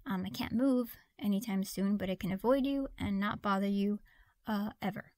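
A woman's voice: medium pitch, moderate pace, a little mellow, no room sound, natural voice. A woman playing a friendly, awkward young witch in a conversational audio roleplay, hesitant and apologetic. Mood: apologetic, earnest.

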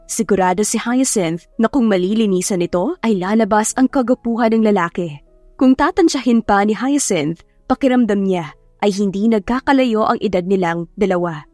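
A woman's voice: fairly high pitch, a little mellow, no room sound. A woman narrator reading a scripted story as an audiobook. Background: background music.

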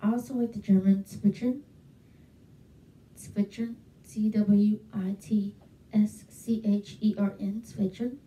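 A woman's voice: medium pitch, fairly even intonation, slow, dark tone. A young woman vlogging casually to camera from her bedroom, chatty and enthusiastic, with frequent fillers. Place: small bedroom at home. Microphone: handheld mic held close, webcam. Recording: roomy echo, from a distance.

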